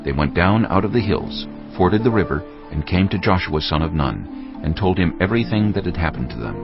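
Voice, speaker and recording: A man narrating scripture from a script in a measured, dramatic storytelling manner. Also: sits low, animated. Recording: slightly dull audio over music. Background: music.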